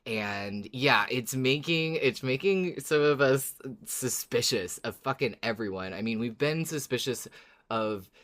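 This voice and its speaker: A woman's voice: rumbling low, lively intonation, unhurried, a bit bright. A woman co-hosting a podcast, talking casually as a fan about a story. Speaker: podcast co-host. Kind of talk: casual fan discussion of an audio drama.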